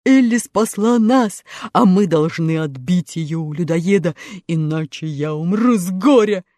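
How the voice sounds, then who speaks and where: Storybook tin man, woman acting it, earnest and unhurried, warm dark tone, dry close mic. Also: on the low side, lively intonation, no room sound. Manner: slow, earnest, soft-spoken. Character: gentle tearful male tin woodman.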